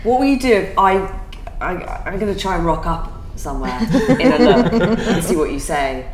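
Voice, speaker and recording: A woman's voice: lowish voice, animated, normal speed, a loud crowd. A young woman on a studio panel, chatty and teasing as she argues her point. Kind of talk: casual panel chat. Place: bright studio set, roomy. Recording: echoey studio room, faint hiss.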